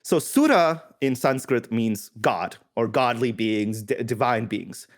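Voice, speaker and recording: A man's voice: medium pitch, lively intonation, slow pace. A man from India who is a podcast guest, explaining in casual conversation with a thoughtful, self-correcting manner.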